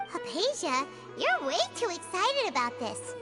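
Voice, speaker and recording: A woman voicing a tiny childlike fairy companion in a video game, excitable, blunt and exclamatory. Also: very high-pitched, unhurried, over loud music, heavily acted. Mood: excited. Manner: exclamatory, refers to self in third person. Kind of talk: video game character acting.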